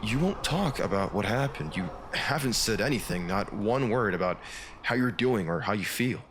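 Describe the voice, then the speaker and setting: A man's voice: lowish voice, expressive, moderate pace, fairly bright tone, slight background noise. An actor playing a mild-mannered, worried man in his thirties, speaking in a hesitant, conversational way for a comic dub. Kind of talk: comic dub acting, naturalistic. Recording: music behind the voice.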